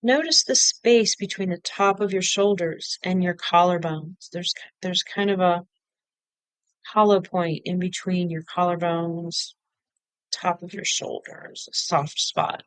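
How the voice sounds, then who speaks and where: Slow, clear-voiced woman guiding meditation. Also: on the low side, no room sound. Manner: unhurried, instructional, with pauses between short phrases. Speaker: meditation guide. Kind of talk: guided body-scan meditation.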